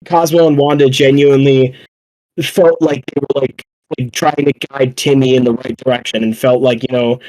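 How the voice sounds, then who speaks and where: A man's voice: mid-range voice, fairly even intonation, moderate pace, a little mellow, poor audio. A young adult man hosting a casual podcast, rambling along in loose, profane talk. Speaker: podcast host.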